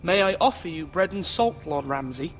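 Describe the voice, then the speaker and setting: A man's voice: on the high side, animated, moderate pace, a bit bright, slight background noise. An actor playing an earnest young boy lord trying to stand firm in a fantasy game, polite and formal. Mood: guarded.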